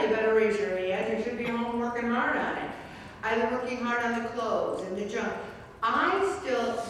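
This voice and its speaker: A woman's voice: on the high side, a little hiss or hum. A woman in her seventies leading a faith-based weight loss group, telling chatty, humorous stories. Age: 70s. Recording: echoey hall, distant mic, faint ambience.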